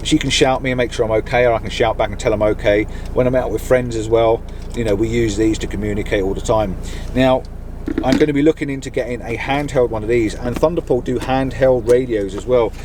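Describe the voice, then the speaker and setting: A man's voice: mid-range voice, fairly even intonation, brisk. Chatty middle-aged British man, noisy vehicle. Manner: chatty, enthusiastic, informal. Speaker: vlogger reviewing gear. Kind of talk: casual product review to camera. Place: inside a campervan. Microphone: camera on a mount in the van. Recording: background noise inside a vehicle.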